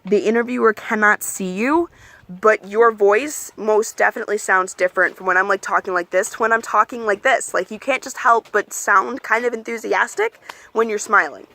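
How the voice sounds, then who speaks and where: A young adult woman chatting candidly in a casual advice vlog, with plenty of ums and likes, and she calls her own voice monotone. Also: medium pitch, normal speed. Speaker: vlogger giving application advice.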